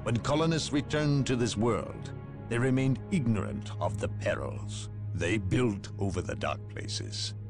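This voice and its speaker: An actor playing a cold, secretive male inquisitor who speaks in a measured, coldly lecturing way, in a video game cutscene. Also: mid-range voice, lively intonation, over music. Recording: voice over orchestral game score.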